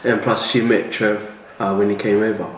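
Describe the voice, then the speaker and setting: A young man joking around in a relaxed couple's vlog chat at home. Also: on the low side, moderate pace, recorded from a distance. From New Zealand. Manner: laid-back, jokey, says bro a lot. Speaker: vlogger. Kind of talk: casual chat while eating. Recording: echoey room, a bit off-mic, faint hiss.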